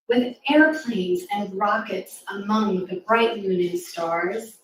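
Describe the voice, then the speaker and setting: A woman reading rhyming verse aloud to young children at a live storytime, with an encouraging, interactive manner. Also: mid-range voice. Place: live reading event in a roomy space. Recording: echoey room, distant mic, faint noise.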